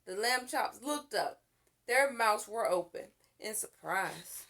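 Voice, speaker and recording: A woman's voice: mid-range voice, slow pace. A woman reading a children's story aloud expressively, as if to young listeners. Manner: expressive, with character voices and asides to listeners.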